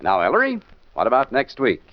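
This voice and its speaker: Animated 1940s radio announcer, man. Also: mid-range voice, brisk. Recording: muffled, faintly noisy 1940s radio recording.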